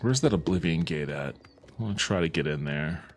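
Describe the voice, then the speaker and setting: A man's voice: a bass voice, normal speed. A man streaming a game, talking casually and swearing freely as he plays, like a livestream host. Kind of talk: casual livestream chat while gaming.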